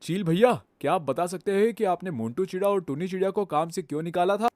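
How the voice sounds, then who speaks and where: A fair-minded male pigeon, voiced by a woman for a cartoon, speaking fast and earnestly as he argues for a friend. The voice sits a little lower than her own and sounds dry and close. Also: animated, darkish tone, no room sound, lightly acted. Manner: earnest, quick, persuading.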